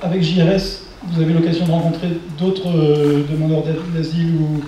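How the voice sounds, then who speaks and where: A man is heard from a distance in a very reverberant room. His voice is soft-edged and mellow as he moderates a press conference, pausing to search for words. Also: fairly high pitch, level tone, a little hiss or hum. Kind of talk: moderating, introducing and questioning a speaker. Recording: very echoey room, distant mic.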